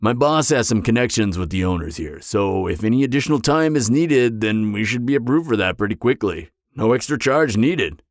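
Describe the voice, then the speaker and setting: A man plays an awkward, earnest supervillain in a conversational audio roleplay. His voice is low and recorded close and dry. He stammers a little but stays matter-of-fact and by the book. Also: expressive, normal speed, hardly any pauses, dry recording, close to an everyday voice. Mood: earnest, businesslike.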